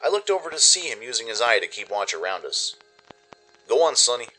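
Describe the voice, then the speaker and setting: A man's voice: fairly low pitch, big pitch swings, fast talker, pushed and bright, soft background music, poor audio. A man narrating a scripted adventure story in the first person, in a dramatic storytelling style. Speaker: narrator and producer.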